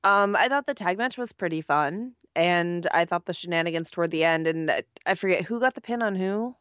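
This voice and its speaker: A woman's voice: fairly low pitch, fairly quick, a bit bright, no room sound, muffled recording. A woman co-hosting a wrestling podcast, her voice worn from being sick, easygoing and laid-back in casual chat. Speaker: podcast co-host.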